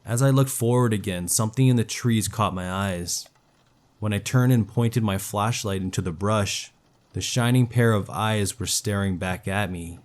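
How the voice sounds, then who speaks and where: A man's voice: lowish voice, normal speed, darkish tone. A man reading a scripted first-person horror story as its narrator.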